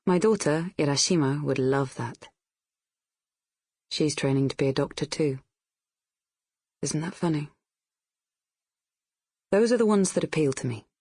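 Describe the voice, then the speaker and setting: Casting: older Brazilian woman, slow husky delivery, wistful and reproachful, intimate audiobook drama. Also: deep voice, a little mellow, no room sound, clean studio sound, exaggerated voice. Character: older Brazilian mother writing a bitter, wistful letter. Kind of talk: audiobook narration in character.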